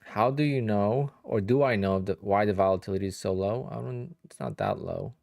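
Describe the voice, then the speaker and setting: A man's voice: fairly low pitch, normal speed, gravelly, a little mellow, no room sound, studio quality. A man in his thirties from Poland with a Polish accent, chatting casually on a live trading stream and answering viewers, sounding tired and sleepy. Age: 30s. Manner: chatty, answers viewer questions with many "like"s and "you know"s. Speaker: day trader streaming live.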